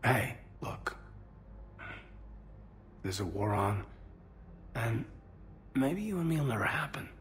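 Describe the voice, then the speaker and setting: An earnest male space soldier in a video game. His soft-spoken delivery is hesitant and intimate, with lively movement in pitch. Faint music plays behind him. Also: takes it slowly, pauses often. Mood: tender, hesitant. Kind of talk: video game dialogue acting.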